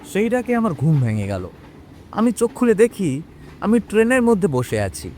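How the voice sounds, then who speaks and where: A man playing a young man who recounts his own eerie experience in an expressive, suspenseful audio horror drama. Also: high voice, moderate pace, a little hiss or hum. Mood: suspenseful, earnest. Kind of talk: dramatic first-person audio horror storytelling.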